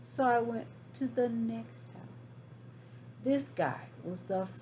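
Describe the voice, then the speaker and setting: A woman gives voice to a folksy storybook wolf who pleads innocence in a high, slow-paced delivery. The telling is chatty and dramatic, made for children, with a light hum of noise in the recording. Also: warm tone. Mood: sly, matter-of-fact. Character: folksy, self-excusing wolf telling his side. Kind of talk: storybook read aloud to children.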